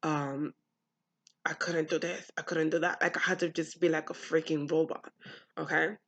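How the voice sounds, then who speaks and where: A woman's voice: low voice, level tone, moderate pace, a bit bright, dull audio. A young adult woman from the United States talking bluntly and frankly to camera about her upbringing, swearing freely. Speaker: vlogger. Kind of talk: candid personal monologue.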